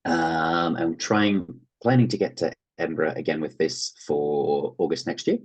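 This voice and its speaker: Very deep, husky Australian man. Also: steady tone, a little slow. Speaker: interview guest, actor and writer. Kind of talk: casual interview chat, anecdotes.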